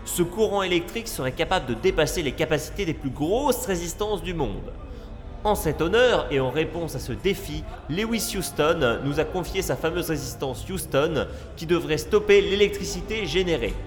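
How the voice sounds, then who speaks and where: A man's voice: a little high, expressive, moderate pace, soft background music, close to an everyday voice. A man playing a formal, ceremonious congress presenter in an audio drama, in a long hall reverb. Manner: formal, grandiose. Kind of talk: comedic audio drama acting.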